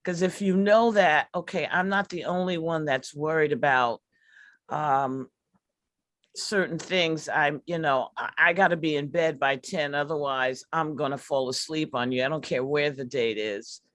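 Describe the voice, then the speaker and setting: A woman teaching artist reflecting in a discussion, emphatic but pausing often to search for words and repeat phrases. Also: low voice, fairly bright tone, dry recording. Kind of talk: reflective post-show discussion.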